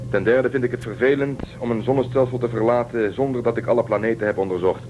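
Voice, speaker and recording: A man's voice: mid-range voice, steady tone, fast, a little hiss or hum, lightly acted. A man playing a stubborn starship commander. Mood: firm. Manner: firm, commanding. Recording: dull lo-fi sound with music.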